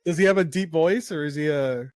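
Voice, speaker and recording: A man's voice: fairly high pitch, brisk, a little mellow, no room sound, clean studio sound. A man hosting a podcast in casual chat, blunt and swearing, calling people "dude". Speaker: podcast host.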